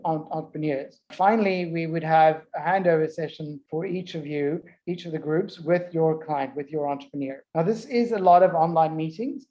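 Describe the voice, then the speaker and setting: A South African man speaks from an office over a video call, steady and careful, pausing to rephrase. His voice is mellow and dark in tone, and the audio is dull and lo-fi. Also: fairly high pitch. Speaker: partner organisation co-founder.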